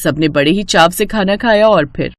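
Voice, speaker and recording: A woman's voice: lowish voice, normal speed, dry recording. A woman narrating a scripted bedtime family story as the storyteller.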